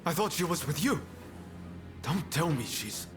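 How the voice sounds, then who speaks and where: A man's voice: a little high, big pitch swings, unhurried, over music. A man playing an easygoing, friendly ronin comrade in a video game, informal and conversational. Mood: casual, concerned. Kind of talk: video game cutscene acting.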